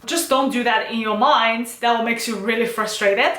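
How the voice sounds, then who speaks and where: A woman speaks English with a Chinese accent, her voice sitting a little higher than most. She talks like a friendly vlogger giving advice and keeps checking in with "right?" and "you know". The room around her gives the voice a noticeable echo. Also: fairly even intonation, normal speed, fairly bright tone. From China. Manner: chatty, lots of "you know" and "right?" tags. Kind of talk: casual advice vlog.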